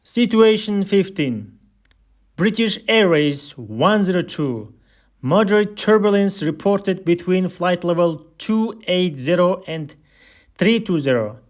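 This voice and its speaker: High-pitched man, slow deliberate instructor. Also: animated. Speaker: aviation English instructor, air traffic controller. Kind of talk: scripted listening drill, radio-style ATC phraseology.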